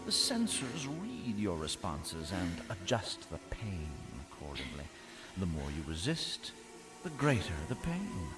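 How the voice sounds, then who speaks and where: A man's voice: sits low, wildly swooping pitch, a little slow, music in the background, full character voice. An actor playing a cruel, imperious male warlord who barks threats and clipped orders in a space opera audio drama. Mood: gloating, menacing. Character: menacing Imperial warlord, a cruel commanding man.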